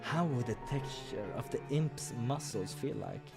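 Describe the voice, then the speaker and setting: A man's voice: mid-range voice, a little slow. Man narrating a visual novel over music. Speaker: game playthrough host and narrator.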